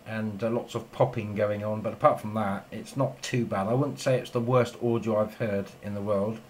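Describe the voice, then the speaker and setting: A man's voice: on the low side, steady tone, normal speed, a little hiss or hum. A man chatting casually and in a rambling way as a radio operator filming in his home shack. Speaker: amateur radio operator and video maker.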